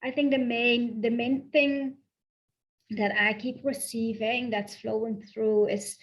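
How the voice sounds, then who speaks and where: A woman from the south of the Netherlands with a Dutch accent, speaking slowly and softly as a spiritual coach in a channeled guided talk, repeating her affirmations. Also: fairly high pitch, steady tone, lo-fi sound. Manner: slow, soft, affirming, repeats phrases.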